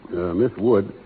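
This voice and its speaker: An actor playing a courteous, teasing young American cowboy with folksy, hesitant speech in a 1930s radio western. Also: male voice, on the low side, a little hiss or hum. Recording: tinny vintage radio recording, music behind.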